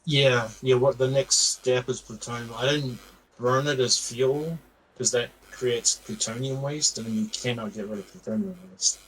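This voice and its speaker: A man from outside the United States, a guest player in casual game chat, giving relaxed, friendly, short replies. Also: mid-range voice, unhurried, husky, rough recording.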